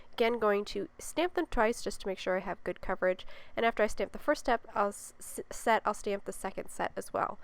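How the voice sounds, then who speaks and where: A woman's voice: mid-range voice, clean tone. A young woman with a slightly congested voice, chatting upbeat through a step-by-step card-making tutorial. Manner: chatty and upbeat, explaining as she works. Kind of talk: step-by-step craft voice-over.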